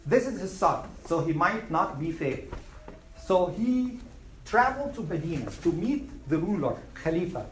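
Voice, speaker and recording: A man from Egypt, teaching a class, emphatic and repeating his points. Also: sits high. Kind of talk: classroom storytelling. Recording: echoey room, faint noise.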